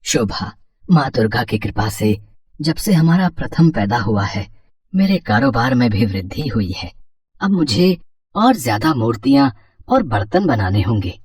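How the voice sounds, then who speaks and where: A man acts out a humble potter, devoted husband and father, in a storybook tale. His voice is husky and emotional, with a reverent, pleading way of speaking. It sits a little away from the mic in a dry space. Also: mid-range voice, sing-song, unhurried, a little mellow, no room sound, distant mic. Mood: grateful, devout. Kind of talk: acted dialogue in a Hindi moral story.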